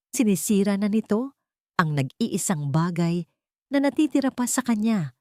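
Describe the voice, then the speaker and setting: A woman's voice: mid-range voice, darkish tone, no echo, studio quality. A woman narrating a scripted dramatic love story.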